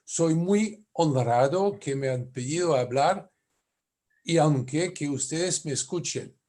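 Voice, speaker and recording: A man from France with a French accent, whose first language is French, giving a conference lecture as a family lawyer in careful, halting speech with self-corrections. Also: a little high, mellow.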